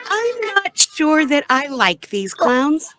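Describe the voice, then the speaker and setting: A woman's voice: sits high, big pitch swings, takes it slowly, music in the background, heavily acted. An actress playing a guileless, cautious young female companion in an audio drama. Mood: wary.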